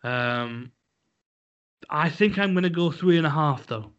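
A man's voice: on the high side, animated, unhurried, fairly bright tone, clear, resonant tone. A man co-hosting a casual podcast, talking bluntly and rambling with lots of fillers and restarts. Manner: blunt and a little crude. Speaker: podcast co-host. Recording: dull lo-fi podcast audio.

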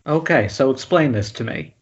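Man as film director, sarcastic, reckless, raspy, mid pitch, lo-fi call sound. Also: expressive, moderate pace. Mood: impatient, defiant. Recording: dull lo-fi internet call.

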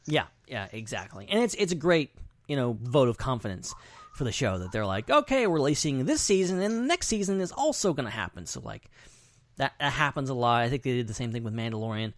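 A man's voice: medium pitch, sing-song, a little fast, dry recording. A man hosting a podcast in casual, rambling, enthusiastic conversation. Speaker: podcast host.

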